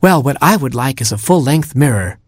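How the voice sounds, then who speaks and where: A man's voice: medium pitch, lively intonation, fairly quick, breathy edge, no echo, pitched above the performer's own voice. A man voicing a young female penguin who is vain and theatrical about her dancing. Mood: dramatic. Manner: theatrical, self-absorbed.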